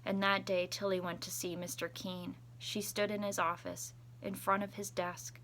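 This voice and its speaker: A woman's voice: medium pitch, little pitch movement, normal speed, fairly bright tone, a little hiss or hum, rough recording. A woman reading a children's picture book aloud expressively, adding chatty asides as a school storytime reader.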